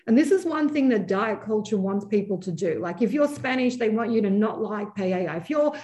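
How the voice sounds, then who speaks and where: An Australian woman in her forties, a podcast guest chatting casually, quick to joke and laugh at herself. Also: mid-range voice, lively intonation, dark tone. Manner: joking, self-deprecating, quick to laugh. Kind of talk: casual chat.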